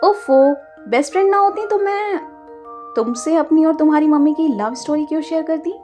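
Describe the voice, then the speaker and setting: Woman playing an affectionate teasing aunt, high voice. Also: moderate pace, darkish tone, soft background music, lightly acted. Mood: playful, affectionate.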